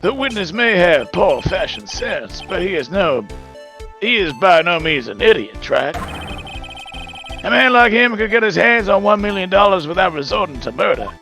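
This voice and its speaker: A man's voice: high voice, sing-song, normal speed, vocal fry, pushed and bright, soft background music, full character voice. A man voicing a condescending, challenging courtroom prosecutor in a fan dub of a video game. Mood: scornful.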